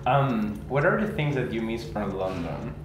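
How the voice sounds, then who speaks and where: Lively male interviewer. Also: on the low side, normal speed, echoey room, background noise. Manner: cheerful, laughs often, brief questions. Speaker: interview host. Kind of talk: casual interview questions. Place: indoor office lounge. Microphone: lapel mic.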